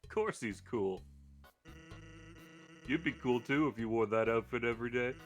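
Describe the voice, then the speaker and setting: A man voicing a laid-back, joking skeleton from a video game, with a casual, wry deadpan manner. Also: medium pitch, normal speed, close to an everyday voice. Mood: easygoing. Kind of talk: live character voicing of video game dialogue. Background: game music.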